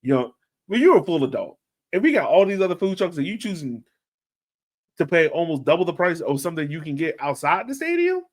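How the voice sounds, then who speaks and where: A man's voice: a little high, sing-song, brisk. A man hosting a podcast in casual chat, with an informal, slangy and emphatic way of talking. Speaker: podcast host reading listener questions.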